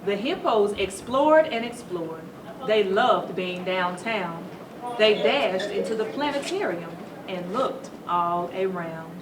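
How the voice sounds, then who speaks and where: A woman reading a children's story aloud to schoolchildren in a teacherly, animated way, stopping to put questions to them. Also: medium pitch, slow pace, a crowd in the background. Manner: teacherly, asks the listeners questions. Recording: roomy echo, distant mic, noisy background.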